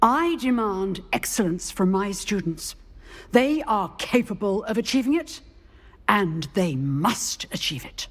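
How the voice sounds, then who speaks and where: Stern old british witch teacher, husky voice, swoops up and down, big echoey hall, game character. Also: mid-range voice, animated, a little slow. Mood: demanding, approving. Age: older. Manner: crisp, theatrical, commanding. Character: stern, proud old witch professor of duelling.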